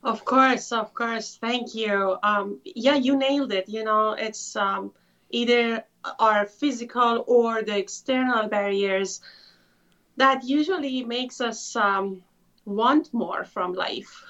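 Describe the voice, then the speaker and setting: A woman from Iran, an author telling her life story in an interview, thoughtful with frequent pauses. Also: fairly high pitch, fairly bright tone. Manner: thoughtful, many filler pauses. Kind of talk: conversational storytelling. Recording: muffled lo-fi audio.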